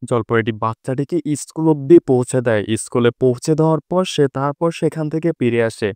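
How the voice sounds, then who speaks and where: A man's voice: mid-range voice, a little fast, warm tone, clean tone. A man giving a scripted storyteller's narration for a fairy-tale cartoon. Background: prominent music.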